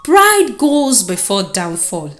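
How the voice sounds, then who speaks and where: A woman voicing a solemn male village king in a commanding, deliberate manner for a folktale. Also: medium pitch, sing-song, a bit bright, soft background music. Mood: stern.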